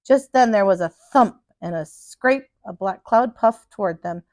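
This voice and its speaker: A woman reading a storybook aloud for children in an animated, dramatic teacher's style. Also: medium pitch, a little slow, no echo, studio quality.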